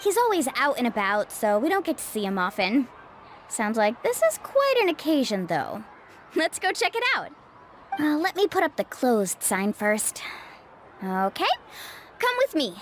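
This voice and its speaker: A woman's voice: a little high, big pitch swings, normal speed, slight background noise. A woman voicing an earnest young female guide who carefully explains her duties.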